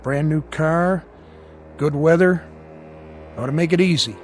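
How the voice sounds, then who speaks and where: An actor playing an easygoing, helpful small-town male mechanic in a radio drama, with a folksy, casual, unhurried way of talking. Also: on the high side, faint music behind, close to an everyday voice. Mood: easygoing, friendly.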